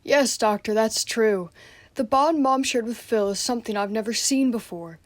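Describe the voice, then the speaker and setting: A woman's voice: fairly high pitch, moderate pace, no room sound, lightly acted. A young female student playing an aggrieved, jealous, overlooked son in a radio play. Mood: earnest, wistful. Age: teenager or young adult student.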